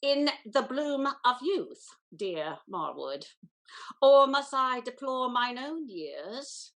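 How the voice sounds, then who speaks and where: A woman's voice: high-pitched, big pitch swings, slow, fairly bright tone, exaggerated voice. A woman playing a vain, flustered older aristocratic aunt in a Restoration comedy, effusive and stammering, full of exclamations. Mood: gushing, affected. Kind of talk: theatrical Restoration comedy acting.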